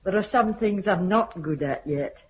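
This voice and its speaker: An actress with a British accent playing a practical, patient, self-reproachful woman in a 1950s radio drama, speaking in a measured way. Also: mid-range voice, animated, natural voice. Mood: patient, chiding. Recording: dull, noisy vintage 1950s radio recording.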